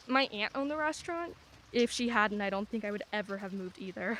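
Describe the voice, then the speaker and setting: An actress playing a middle-aged single mother, soft and soothing, with self-deprecating humor. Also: mid-range voice, animated, brisk, a little hiss or hum, close to an everyday voice, poor audio. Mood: chatty. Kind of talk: radio play acting.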